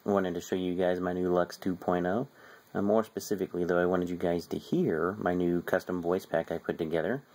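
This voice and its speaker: Male hobbyist, low voice, casual home-video walkthrough. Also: fairly even intonation, a little fast, a little mellow. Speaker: hobbyist showing his gear.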